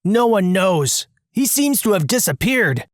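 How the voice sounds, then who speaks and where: A narrator voicing a brusque, bossy accomplice in an animated story, commanding and dismissive. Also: mid-range voice, a little slow, dry recording, studio quality. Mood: matter-of-fact.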